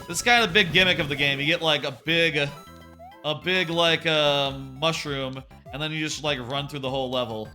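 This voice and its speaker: Loud, bright-voiced male streamer. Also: a little high, steady tone, normal speed, soft background music. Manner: loud-voiced, rambling, self-correcting. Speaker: livestreamer. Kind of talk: casual live gameplay chat. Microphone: about an arm's length from the microphone.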